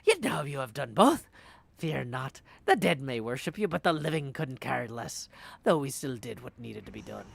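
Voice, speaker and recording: A man's voice: mid-range voice, sing-song, normal speed, creaky, full character voice. Man acting a theatrical, proud mythic game combatant. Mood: cold, defiant. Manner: theatrical, formal, declamatory. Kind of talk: fantasy game voice acting practice.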